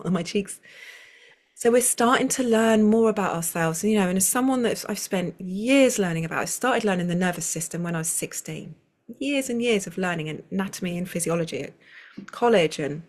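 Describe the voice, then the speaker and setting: A woman, a circle facilitator, speaking as a guest over a video call in a flowing, rambling way with many restarts. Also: mid-range voice. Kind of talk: conversational interview.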